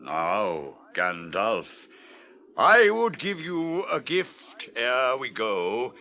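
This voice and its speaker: A man's voice: mid-range voice, huge pitch swings, very slow, bright, forceful tone, heavily acted. An actor with a British accent playing a proud, resolute old warrior king, declaiming solemnly in a fantasy radio play.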